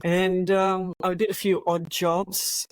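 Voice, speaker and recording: A woman's voice: on the low side, level tone, slow, no room sound. Dry-voiced Australian woman in her sixties. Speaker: guest, retired police officer. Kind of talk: interview conversation.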